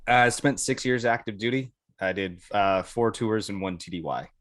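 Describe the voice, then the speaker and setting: A man's voice: lowish voice, normal speed, a bit bright, no echo, clean studio sound. An American man telling anecdotes casually as a podcast guest, in a colloquial, amused way. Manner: colloquial, amused, chuckles through stories. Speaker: podcast guest, Air Force veteran.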